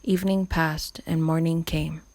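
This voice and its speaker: A woman's voice: deep voice, little pitch movement, measured pace, a little mellow, no room sound. A woman reading scripture as scripted narration. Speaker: volunteer scripture reader.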